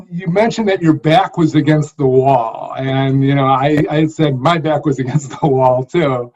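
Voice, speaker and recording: A man's voice: fairly high pitch, a little mellow. A man hosting an interview, asking engaged, friendly questions. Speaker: host. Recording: dull lo-fi audio.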